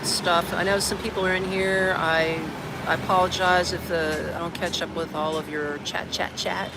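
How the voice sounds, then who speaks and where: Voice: medium pitch, level tone, normal speed. An expat from Orlando, Florida chatting casually on a live stream from an outdoor garden café, rambling with lots of "like" and "man". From Orlando, Florida, United States. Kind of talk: casual livestream chat. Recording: outdoor stream with traffic noise. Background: outdoor ambience with traffic.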